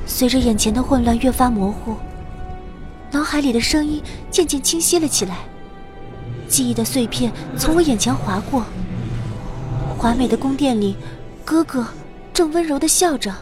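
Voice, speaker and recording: A female actor performs a young heroine recounting her own fantasy adventure. Her voice is high, earnest and expressive in scripted dramatic narration. Music plays beneath it. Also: moderate pace, long pauses, close to an everyday voice. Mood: wistful, grave.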